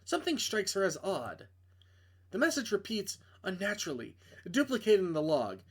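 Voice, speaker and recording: A man's voice: high voice, wildly swooping pitch, normal speed, husky. A man reading a visual novel aloud as a streamer, narrating dramatically and breaking off into casual, joking asides to correct himself.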